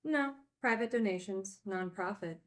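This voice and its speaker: A woman's voice: medium pitch, normal speed, lightly acted. A woman acting a guarded, dryly frank recovering addict who teaches at a group home, in a film script read. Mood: sardonic, guarded.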